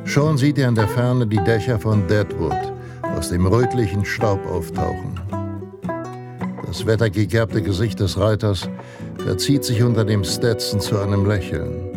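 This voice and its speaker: An actor playing a solemn, measured narrator of a western tale in a radio play. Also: male voice, fairly low pitch, gravelly. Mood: solemn, heroic. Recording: guitar music behind the voice. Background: plucked guitar music.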